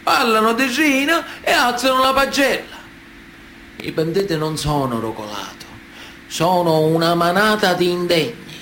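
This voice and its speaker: High-voiced, wily Sicilian mafioso. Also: male voice, very animated, sharp tone, a little hiss or hum, exaggerated voice. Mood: indignant, accusing, then scornful, aggrieved. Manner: emphatic, theatrical, with drawn-out pauses. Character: wily, indignant, semi-literate Sicilian mafioso addressing a judge. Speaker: stage actor. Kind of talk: theatrical radio drama monologue.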